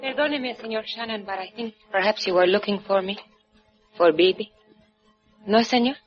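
A woman's voice: mid-range voice, moderate pace, over music, natural voice. Actress as a haughty Spanish-accented woman, muffled radio. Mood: coolly probing. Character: proud, mysterious, scornful Cuban woman. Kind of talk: 1950s radio drama acting. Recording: dull lo-fi old radio recording.

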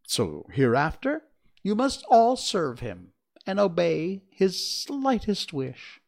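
Storybook mouse queen, tiny and squeaky, indignant and regal, put on by a male reader. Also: huge pitch swings, slow, gravelly, heavily acted.

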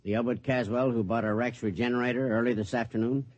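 An actor playing a harried, officious company official in 1950s radio drama style. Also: male voice, mid-range voice, fairly even intonation, fast talker, darkish tone, highs cut off, close to an everyday voice. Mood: awkward, wary. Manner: urgent, officious.

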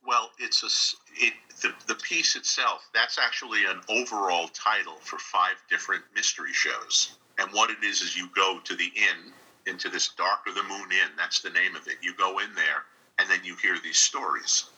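A man's voice: low-pitched, normal speed, sharp tone, husky, poor audio. A man from New York State answering interview questions casually and animatedly, often repeating his phrases. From New York State, United States. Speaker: interview guest, radio drama writer and director.

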